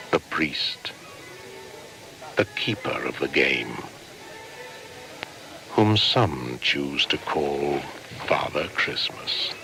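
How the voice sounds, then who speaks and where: A man's voice: a bass voice, heavily acted, low-quality recording. An actor playing an ancient, menacing shaman spirit in a radio play, speaking in a solemn, incantatory, portentous manner.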